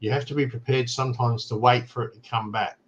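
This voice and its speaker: A man's voice: medium pitch, fairly even intonation, fairly quick, lo-fi sound. An Australian man hosting a casual talk show, explaining things plainly and often checking in with "right?". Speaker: show host. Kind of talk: casual chat.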